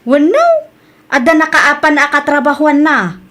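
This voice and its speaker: A woman's voice: high voice, wildly swooping pitch, normal speed, fairly bright tone, full character voice. A woman with an Ilocano Filipino accent playing a chatty young daughter and friend in a radio comedy drama. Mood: concerned, questioning.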